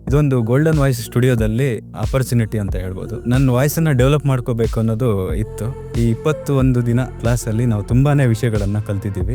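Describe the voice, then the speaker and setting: A man's voice: mid-range voice, dark tone. A man giving a spoken testimonial as a course participant. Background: music.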